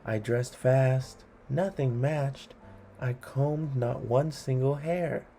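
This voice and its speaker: A man reading funny children's rhymes aloud in a deliberate, theatrical storytelling way. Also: mid-range voice, vocal fry, warm tone, faint music behind. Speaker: read-along host.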